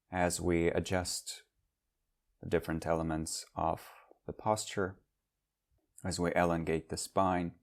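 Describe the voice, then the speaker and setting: A man leading a guided meditation as a teacher, speaking in measured, instructional phrases with long pauses. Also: low voice, creaky, a little mellow.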